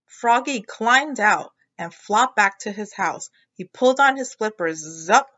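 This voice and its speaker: A woman teacher reading a picture book aloud to children, explaining expressively and pausing to check understanding with questions. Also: fairly high pitch, lively intonation, fairly bright tone, lo-fi sound.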